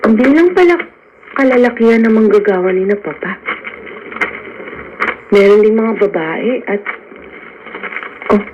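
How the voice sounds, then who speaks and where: A woman's voice: medium pitch, a little slow, pauses often, highs cut off, close to an everyday voice. A woman playing a polite, dreamy young hacienda heiress in a Filipino radio drama. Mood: wondering, smitten. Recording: noisy radio broadcast.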